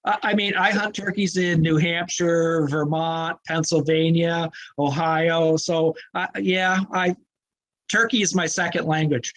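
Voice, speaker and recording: A man's voice: a little high, fairly even intonation, unhurried, a bit bright. A man talking as a guest about hunting in an easygoing, casual way. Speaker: guest hunter. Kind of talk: casual chat.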